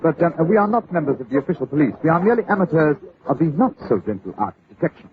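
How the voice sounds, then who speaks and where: An urbane English sleuth with dry wit. He talks quickly and with lively swings of pitch, in vintage radio drama style. The recording is narrow and tinny. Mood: dry politeness. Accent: British. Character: suave, dry-witted English detective. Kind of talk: old-time radio drama acting. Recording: scratchy, tinny old radio broadcast.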